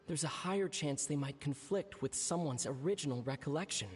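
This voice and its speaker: A man playing a serious, pensive character in a video game, deliberating in measured inner monologue. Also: fairly high pitch, darkish tone, slight background noise, lightly acted. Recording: long reverb on the voice.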